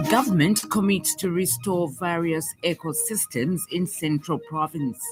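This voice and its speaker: Zambian woman reading radio news. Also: lowish voice. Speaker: news presenter.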